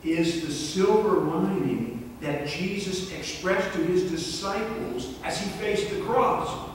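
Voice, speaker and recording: A middle-aged man preaching a sermon from the pulpit of a church, earnest and measured, sometimes repeating his words. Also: high-pitched, a little hiss or hum. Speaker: pastor. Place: church sanctuary, large. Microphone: far camera in the pews. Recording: echoey sanctuary from far off.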